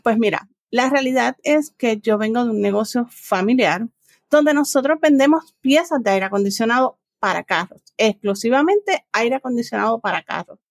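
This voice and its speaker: A woman's voice: on the high side, lively intonation, moderate pace, no room sound, clean studio sound. A middle-aged woman with a Puerto Rican accent, answering conversationally as an interview guest. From Puerto Rico. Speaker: interview guest, business owner and trainer.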